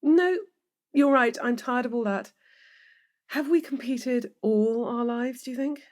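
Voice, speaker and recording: A woman's voice: fairly high pitch, a little slow, darkish tone, close to an everyday voice. An actress playing an anxious professional woman in her forties who gets worked up easily, in a naturalistic audio drama. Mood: wistful, unsettled. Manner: emotional, questioning, easily worked up. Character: anxious, wine-drinking middle-aged professional woman, wistful and needling.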